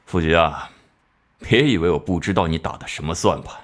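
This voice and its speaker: A man voicing a stern, shrewd older police bureau chief in an animated crime drama. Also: sits low, normal speed. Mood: shrewd, warning. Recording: faint music under the voice.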